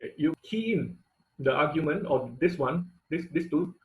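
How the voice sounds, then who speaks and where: Higher-voiced man teaching an online class. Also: animated, rough recording. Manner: halting, repetitive, often checking with "okay". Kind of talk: informal live tutorial with step-by-step demonstration.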